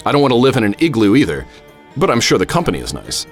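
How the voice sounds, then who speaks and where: An actor playing a witty, grandiose American professor and adventurer, speaking in flowery, theatrical phrases in a radio drama. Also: male voice, mid-range voice, huge pitch swings, fast talker, faint music behind. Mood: bantering. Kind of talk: radio drama acting and first-person narration.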